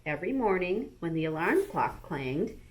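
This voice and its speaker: A woman, a school librarian, reading a bedtime story aloud to young children in an expressive, animated manner. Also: fairly low pitch, moderate pace.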